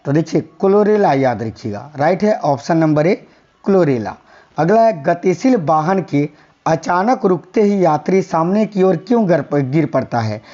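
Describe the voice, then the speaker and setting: A hoarse man teaching a quiz-style science lesson for exam prep, repeating each answer and telling viewers to remember it. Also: fairly high pitch, expressive, moderate pace, dark tone, dull audio. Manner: repeats answers, coaxing "याद रखिएगा".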